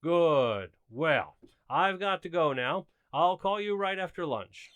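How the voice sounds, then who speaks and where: A man as a flustered, animated sitcom husband. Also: fairly high pitch, slow pace, no echo. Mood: coaxing. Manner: hesitant, pleading, earnest. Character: well-meaning, flustered suburban husband, coaxing and defensive. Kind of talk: old-time radio sitcom acting.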